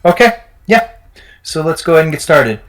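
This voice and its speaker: A man hosting a crypto game livestream, talking in an energetic, promotional way. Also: a little high, normal speed. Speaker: livestream host.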